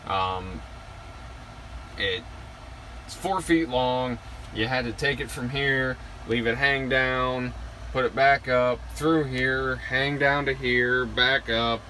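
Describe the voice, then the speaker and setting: A man giving a casual hands-on gear walkthrough, plain-spoken and halting, pausing between short phrases. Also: medium pitch. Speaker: truck driver showing his CB radio setup. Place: inside a truck cab. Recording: steady vehicle and air-conditioning noise behind.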